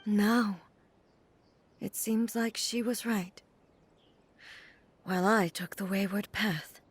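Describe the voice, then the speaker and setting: A woman voicing a composed, formal female ruler in a game, speaking calmly and with measure. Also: mid-range voice, slow, slightly rough. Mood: solemn. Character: regal, composed female ruler, calm and solemn.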